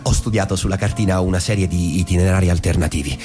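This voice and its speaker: A man's voice: low voice, fast talker, a little mellow, lightly acted. An actor playing a dreamy, restless young man who delivers a dramatic monologue about his schoolboy escape fantasies in a radio play. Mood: dreamy, earnest. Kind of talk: dramatic first-person stage monologue for radio. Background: music.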